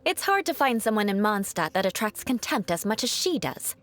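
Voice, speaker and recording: Haughty, lively noble lady knight. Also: mid-range voice, fairly quick. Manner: haughty, formal, theatrical. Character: proud young aristocratic female knight, haughty, mock-vengeful, secretly kind. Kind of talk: video game character dialogue. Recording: music playing behind the voice.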